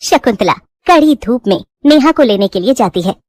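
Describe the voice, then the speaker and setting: A woman's voice: a little high, animated, normal speed, a little mellow, dry recording, studio quality. A woman reading a scripted bedtime moral story as narrator.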